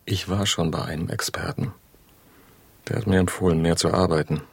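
Husky low male voice, obsessive heartbroken husband, reproachful and pleading, radio drama, dry studio. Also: steady tone, normal speed, no room sound, heavily acted. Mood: resigned. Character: brooding, pleading husband obsessed with his estranged wife.

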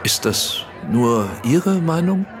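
An actor playing a celebrated, wary film director in a German radio drama, hesitant and given to sighs and sudden outbursts. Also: male voice, fairly high pitch, animated, slow pace, over music, a crowd in the background. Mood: wary, indignant. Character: celebrated male film director, wary, easily outraged, vulnerable.

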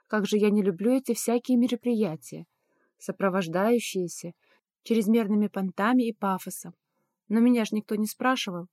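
A woman's voice: medium pitch, a little mellow, no room sound, clean studio sound. A woman reading scripted first-person fiction as an audiobook narrator.

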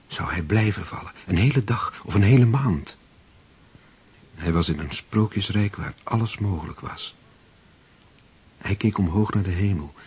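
A man with a husky, rough voice reading scripted storybook narration as narrator of a radio drama. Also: low-pitched, darkish tone. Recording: dull old radio recording.

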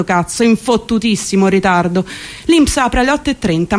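A woman with a Roman Italian accent, performing a comic dramatic monologue in a theatrical, colloquial and exasperated way. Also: a little high. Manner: theatrical, colloquial, exasperated, foul-mouthed delivery. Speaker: stage actor performing a monologue. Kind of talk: dramatic comic monologue, first-person narration.

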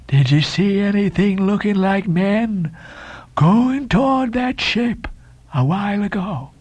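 A man voicing an uncanny, cracked old sailor-prophet in an audiobook, teasing and cryptic, with drawn-out repetitions in seaman's dialect. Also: high-pitched, expressive, a little mellow, husky, dry recording, pitched above the performer's own voice, heavily acted. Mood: insistent, cryptic.

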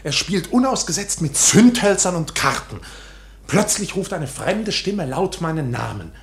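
A man's voice: high voice, big pitch swings, a little slow, heavily acted. An actor playing an uneasy, polite accused man who keeps asking questions, in a radio drama. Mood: agitated. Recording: loud background noise behind voice.

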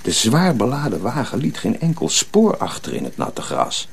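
Lively male radio drama narrator. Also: mid-range voice, moderate pace, gravelly, breathy edge. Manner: expressive, suspenseful.

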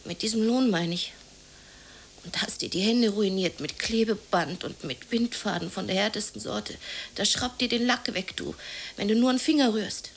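A woman's voice: medium pitch, moderate pace, a little hiss or hum, lightly acted, poor audio. An actress in a German radio drama playing a bitter, anxious, unemployed working-class woman who confides in plaintive, colloquial speech. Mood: indignant, complaining. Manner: colloquial, confiding, plaintive, sometimes near whispering.

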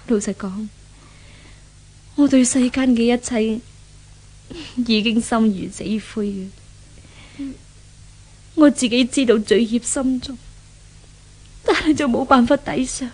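High-voiced, sorrowful young woman. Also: slow pace, long pauses, darkish tone, husky. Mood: numb, despairing. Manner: melodramatic, sorrowful. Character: guilt-ridden, world-weary young woman, despairing. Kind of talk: 1970s radio drama acting. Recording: old radio drama, music behind voice.

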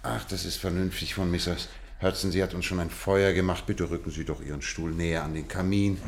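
A man's voice: deep voice, fairly even intonation, a little fast, slight background noise. A man acting a courteous, observant, self-assured detective in a German radio play, speaking formally and precisely.

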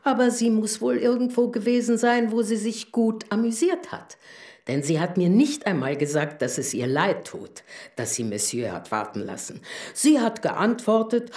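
A woman's voice: medium pitch, sing-song, measured pace, a little mellow, reverberant. An actress playing a blunt, gossipy servant woman with a mocking, colloquial manner in a German radio play. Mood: indignant.

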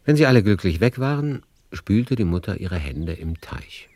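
A man with a deep voice narrates a radio play as a literary storyteller. His delivery is measured and lyrical, recorded close and dry with no room sound. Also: animated, a little mellow, no echo.

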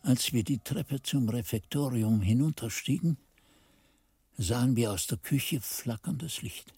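An actor playing a grave monk chronicler, narrating a German radio drama in a measured, literary and suspenseful way. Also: male voice, medium pitch, warm tone, husky, no echo, soft background music. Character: grave monk chronicler recalling dark past events.

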